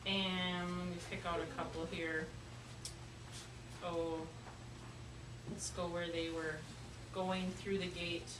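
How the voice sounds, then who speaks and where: A woman teaching young children in a classroom, speaking in an encouraging, questioning way with hesitant phrasing and pauses. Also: on the low side, flat delivery. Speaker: elementary school teacher. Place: indoor classroom. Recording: roomy, noisy classroom, distant mic.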